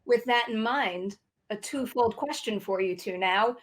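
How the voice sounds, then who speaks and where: Higher-voiced woman moderating a panel. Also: measured pace. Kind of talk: upbeat interviewing.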